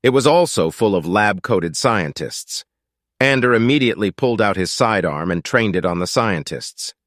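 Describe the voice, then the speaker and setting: A man's voice: on the low side, expressive, normal speed, fairly bright tone, dry recording, clean studio sound. A man reading scripted fiction as an audiobook narrator.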